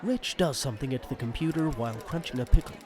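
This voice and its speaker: A man's voice: mid-range voice, lively intonation, quick pace. A man narrating a scripted audio drama, describing the scenes.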